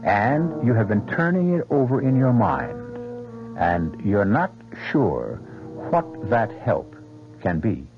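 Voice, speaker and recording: A man's voice: lowish voice, very animated, slow pace. A man hosting a 1970s radio drama with portentous, rhetorical, scripted narration. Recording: muffled old radio broadcast. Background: music.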